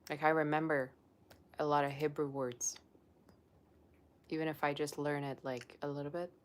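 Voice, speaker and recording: A woman's voice: low voice, fairly even intonation, normal speed. A young woman, a psychic tarot reader, chatting casually on a livestream and reading viewer comments aloud. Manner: chatty and rambling, with many "like" fillers.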